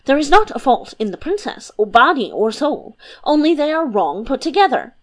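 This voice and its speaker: A woman voicing a quick, flighty male philosopher who lectures emphatically. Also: high-pitched, big pitch swings, pitched above the performer's own voice. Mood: lecturing.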